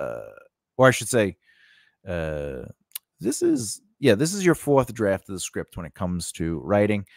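A man's voice: fairly low pitch, dry recording, clean studio sound. A man giving an informal instructional lesson, talking through points conversationally with frequent "you know" and "all right" asides. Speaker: screenwriting instructor.

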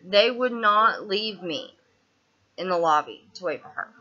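A woman's voice: medium pitch, animated, a little slow, sharp tone, highs cut off. A woman in her twenties with a Southern US twang, venting in a casual video diary with lots of fillers and restarts, recorded indoors on a laptop webcam. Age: 20s. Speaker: vlogger. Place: small indoor room, seated on a couch.

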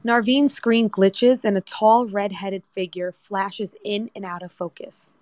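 A woman's voice: medium pitch, steady tone, unhurried, a little mellow, no echo. Dry-sounding woman narrating a screenplay. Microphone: remote audio chat app.

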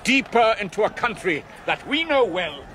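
An actor playing a shrewd, deliberate sultan and war leader who speaks with authority in a historical audio drama. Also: male voice, sits high, sing-song, sharp tone, slight background noise, exaggerated voice. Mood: calm, calculating. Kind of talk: historical radio drama acting.

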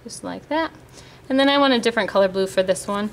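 A woman explaining a watercolor painting lesson step by step in a calm, patient way while she paints at a table. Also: medium pitch, fast talker, slight background noise. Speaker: art teacher. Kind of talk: painting demonstration. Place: indoors at a table, hands-only overhead camera.

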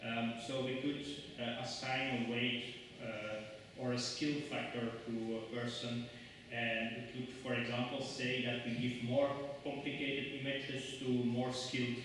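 A man's voice: mid-range voice, flat delivery, measured pace, fairly bright tone, a little hiss or hum. A middle-aged man whose first language is Dutch, giving a hesitant conference talk in a lecture hall with many ums and restarts. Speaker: conference speaker. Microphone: lectern mic, far camera. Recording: echoey hall from far off.

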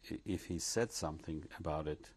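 Older man, very low husky voice, measured and reflective, lapel mic, living room. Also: darkish tone. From Poland. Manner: measured, reflective, with frequent pauses and restarts. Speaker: interviewee. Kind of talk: recounting memories in an interview. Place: living room, seated before curtains.

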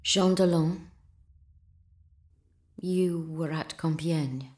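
An actress playing a grave, deliberate woman questioner in a medieval audio drama. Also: low voice, darkish tone.

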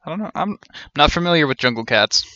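A man's voice: fairly high pitch, expressive, fast talker, bright, forceful tone, no room sound, lo-fi sound. A man in his thirties giving casual, joking live commentary on a game in a wry way. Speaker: game streamer.

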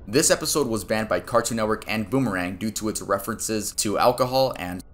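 A man's voice: lowish voice, a little fast, soft background music. A man in his twenties hosting a video countdown, mixing scripted narration with casual, informal asides. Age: 20s. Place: small bedroom, indoors.

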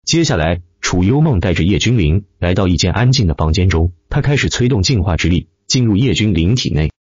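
A man narrates a fantasy tale with theatrical emphasis. His voice is low and husky, and his intonation rises and falls in wide swings as he stresses the action. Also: expressive, fairly quick, darkish tone, dry recording, muffled recording. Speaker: audiobook narrator. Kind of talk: dramatic scripted narration of a fantasy web novel.

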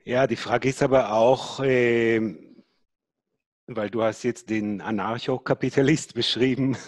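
A man's voice: medium pitch, fairly even intonation, a little slow, no echo. A man in a casual panel discussion, hesitant as he forms his points.

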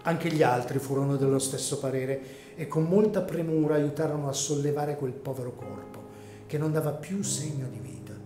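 A man narrating a dramatic story from a script in a measured, solemn, literary manner. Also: fairly high pitch, fairly even intonation, mellow. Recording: echoey room, music underneath.

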